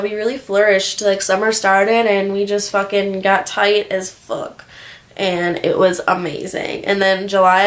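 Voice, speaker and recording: A twenty-year-old woman chatting casually in a personal vlog, rambling through stories in a candid, slangy way with plenty of swearing. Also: medium pitch. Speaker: vlogger.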